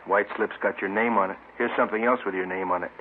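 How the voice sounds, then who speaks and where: An actor as a low-voiced terse police detective. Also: male voice, steady tone, fast talker, close to an everyday voice. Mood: pressing. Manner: terse, matter-of-fact, pressing. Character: businesslike male police detective grilling suspects. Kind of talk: 1950s radio police drama acting. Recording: noisy, narrow old radio recording.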